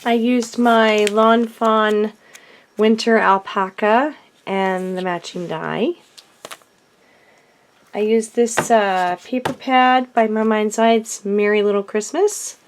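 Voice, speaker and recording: Woman vlogger, hoarse from a cold, unhurried and chatty, mid pitch. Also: fairly even intonation, a little hiss or hum. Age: adult. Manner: chatty, with frequent ums and self-corrections. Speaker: card-making vlogger. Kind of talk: casual craft show-and-tell.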